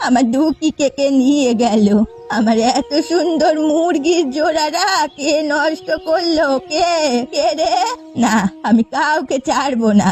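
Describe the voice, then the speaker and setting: A woman's voice: high voice, a little slow, a little mellow. A woman voicing a feisty, doting old village woman in a folk-tale cartoon, with a dramatic, wailing and scolding delivery. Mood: distraught, indignant.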